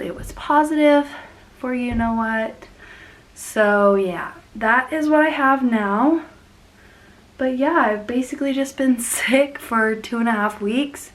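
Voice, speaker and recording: A woman's voice: medium pitch, rough recording. A young woman hosting a casual plant vlog, sniffly and congested from being sick, rambling and laughing often as she talks. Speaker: plant channel host.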